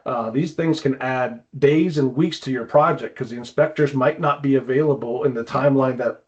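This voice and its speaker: A man's voice: mid-range voice, moderate pace, darkish tone. A man giving an informal explanatory presentation in a conversational way, on slightly dull lo-fi audio.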